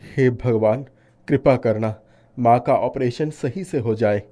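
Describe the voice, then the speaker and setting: A man voicing a devout, worried shopkeeper and devoted son in a story, speaking earnestly and gently. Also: mid-range voice, takes it slowly, pitched below the performer's own voice, close to an everyday voice. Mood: pleading, worried. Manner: earnest, soft-hearted. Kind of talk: animated moral story dialogue.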